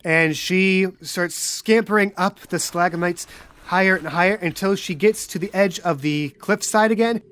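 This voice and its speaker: A woman's voice: fairly low pitch, moderate pace, a bit bright. A woman narrating a tabletop roleplay podcast as game master.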